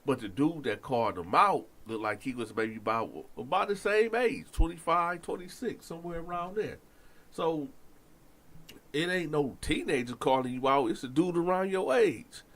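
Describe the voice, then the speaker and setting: A man's voice: on the high side, normal speed. Stuffy-nosed man telling a prison story. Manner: animated, addresses listeners directly, slangy. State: stuffy nose.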